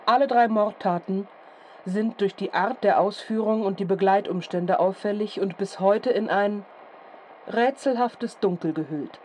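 Woman acting a mellow, grave radio announcer. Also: medium pitch, measured pace, natural voice. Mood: solemn, formal. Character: grave, formal female radio announcer reporting murders. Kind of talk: scripted announcement in radio drama. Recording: faint background noise and ambience.

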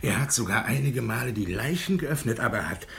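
A man's voice: mid-range voice, animated, brisk, gravelly, fairly bright tone. An actor playing a pompous, bullying parish beadle who fawns on his superiors, in officious 1950s German radio drama style. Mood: fawning. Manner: officious, self-important.

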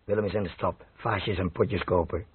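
A curt, menacing male crook in an old radio play. His voice is low and strongly husky, with sarcastic impatience. It sounds thin, like a telephone. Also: fairly even intonation, normal speed, no room sound, narrow, tinny audio. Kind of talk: radio drama acting.

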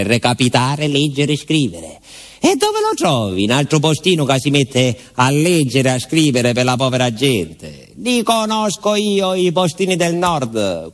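A man's voice: medium pitch, close to an everyday voice. An actor playing a talkative, proud village postman with a southern Salento accent, telling stories in a rambling, deferential stage monologue. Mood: proud, chatty. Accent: Salento, southern Italy. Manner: rambling folksy storytelling, repeats himself, seeks confirmation. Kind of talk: theatrical monologue.

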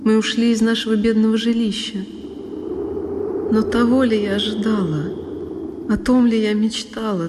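A woman's voice: medium pitch, background noise. A woman giving an emotive, theatrical first-person narration of a literary drama. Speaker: solo performer, narrator. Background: music.

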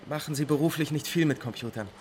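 An actor as a helpful male museum attendant in a radio play. He has a fairly high voice and talks courteously, over a faint layer of noise. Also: fast talker, natural voice. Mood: courteous, informative.

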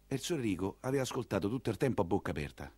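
A man's voice: fairly low pitch, big pitch swings, rapid-fire, a little mellow, breathy edge, no echo. An actor playing an earthy Roman coachman, telling his story in a colloquial, wry theatrical monologue with a Roman accent. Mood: hushed, narrating. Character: earthy Roman coachman narrator, plain-spoken, compassionate working man.

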